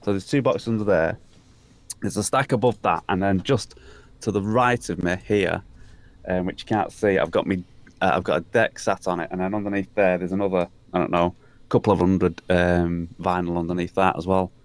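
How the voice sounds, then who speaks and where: A man's voice: deep voice, fairly even intonation, moderate pace. A British man chatting casually as a live stream guest in a rambling way. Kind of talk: casual chat.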